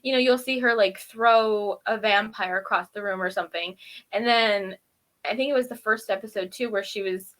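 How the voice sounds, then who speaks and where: A woman co-hosting a review show, casually recapping and commenting in an informal, animated way. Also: medium pitch, normal speed. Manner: informal, animated retelling with acted-out quotes. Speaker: co-host of a TV episode review show.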